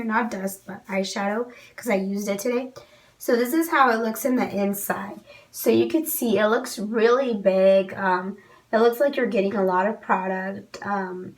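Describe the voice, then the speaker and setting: A woman's voice: medium pitch, fairly bright tone, recorded from a distance. Young woman losing her voice. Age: young adult. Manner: chatty, many "um"s, frank opinions. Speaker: beauty vlogger. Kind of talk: casual product review to camera. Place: small indoor room.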